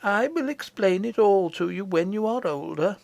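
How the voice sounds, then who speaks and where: A woman voicing a kindly, dignified old great-great-grandmother queen in a storybook audiobook, gentle, gracious and composed. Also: medium pitch, lively intonation, normal speed, gravelly, no room sound, pitched above the performer's own voice. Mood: kindly, patient.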